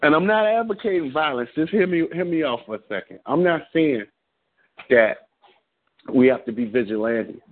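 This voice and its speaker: A man's voice: a little high, animated, moderate pace. A man calling in to a talk radio show, blunt and impassioned, talking in long rambling runs. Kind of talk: call-in radio commentary. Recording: tinny phone line.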